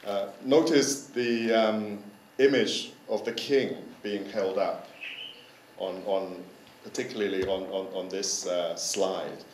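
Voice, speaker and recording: Slow, halting man in an echoey hall. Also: fairly low pitch, steady tone, darkish tone. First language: Dutch. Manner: hesitant, many repeated words and fillers. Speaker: curator giving a forum presentation. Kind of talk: conference talk. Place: forum lecture hall. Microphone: handheld microphone. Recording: roomy hall sound from a distant mic, faint noise.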